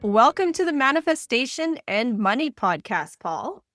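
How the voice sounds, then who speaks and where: A woman's voice: on the high side, very animated, moderate pace, fairly bright tone, no echo, studio quality, faint music behind. Enthusiastic woman podcast host. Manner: enthusiastic, eager. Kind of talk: podcast interview.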